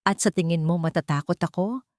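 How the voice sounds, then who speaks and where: A woman's voice: on the low side, brisk, a little mellow, dry recording, studio quality, natural voice. A woman voicing a domineering, scornful, wealthy older mother in a narrated story, with a commanding, threatening manner. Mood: defiant, threatening.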